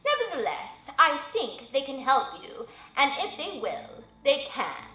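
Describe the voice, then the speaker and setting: A woman's voice: high-pitched, sing-song, sharp tone, roomy sound, voice pushed up, exaggerated voice, rough recording. Woman doing a grave old male owl. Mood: solemn. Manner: slow, measured, formal.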